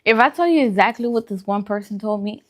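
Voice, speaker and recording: A woman's voice: mid-range voice, fast. A woman hosting a food vlog, chatting casually to viewers in colloquial slang and repeating phrases for emphasis. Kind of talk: casual chat to viewers during a mukbang livestream.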